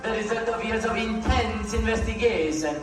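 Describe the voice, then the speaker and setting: A man's voice: high-pitched, moderate pace, lightly acted. A young man playing a pompous, flustered medieval expert, declaiming comic rhymes in a stage play. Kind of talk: comic rhyming stage acting. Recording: very echoey hall, distant mic, music.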